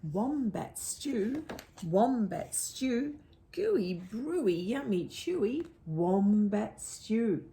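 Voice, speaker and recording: A sly storybook dingo, put on by a woman reading aloud, full of gleeful boasting. The delivery is slow and sing-song, swooping up and down as he gloats. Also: on the high side, sing-song, warm tone, heavily acted. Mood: gloating, chanting.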